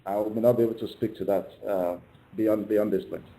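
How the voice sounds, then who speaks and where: A man giving formal, halting, measured answers as a company executive on a conference call. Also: lowish voice, fairly even intonation, gravelly, mellow, low-quality recording.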